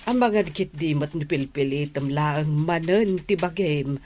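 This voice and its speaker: A woman's voice: low voice, a little slow, darkish tone, a little hiss or hum. A woman with a Filipino accent playing a worried, disapproving middle-aged mother, lamenting and scolding in a radio drama.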